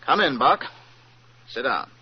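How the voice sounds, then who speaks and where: Genial, scheming crime boss, muffled. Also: male voice, mid-range voice, very animated, a little slow, noisy background. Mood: falsely genial. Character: smooth, two-faced male crime boss. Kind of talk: old-time radio crime acting. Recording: muffled vintage radio recording.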